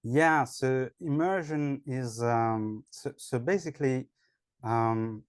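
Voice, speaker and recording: A man's voice: mid-range voice, takes it slowly, smooth, dry recording, studio quality. A man with a French accent, an online English teacher, answering interview questions in a hesitant way with many fillers. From France.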